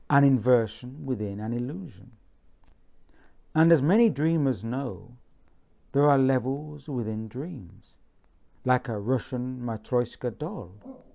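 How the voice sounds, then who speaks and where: A man reading from his own book in a measured bedtime-story style, deliberate and pausing between phrases. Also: medium pitch, vocal fry, mellow.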